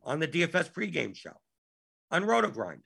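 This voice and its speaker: A man's voice: fairly high pitch, huge pitch swings, brisk, gravelly, bright, forceful tone, breathy edge. A man hosting a live Q&A stream, his voice worn out, talking in an exasperated way and repeating himself. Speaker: show host.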